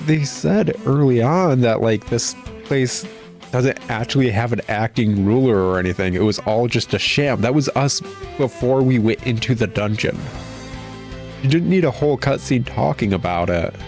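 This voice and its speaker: A man's voice: medium pitch, expressive, normal speed, darkish tone, faint music behind. A man giving a casual, blunt, sarcastic and exasperated critique while commenting on a video game. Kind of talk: casual game critique commentary, reading cutscene dialogue aloud.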